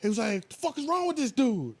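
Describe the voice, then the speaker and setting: An American man hosting a casual podcast, talking in an animated, slangy and emphatic way. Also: very high-pitched, brisk, husky, dry recording. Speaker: podcast host.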